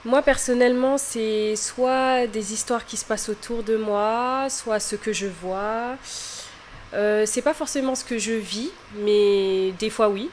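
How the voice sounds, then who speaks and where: A young woman from France, a singer, answering interview questions in a hesitant, soft-spoken way that often trails off. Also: a little high, a little slow, a little hiss or hum.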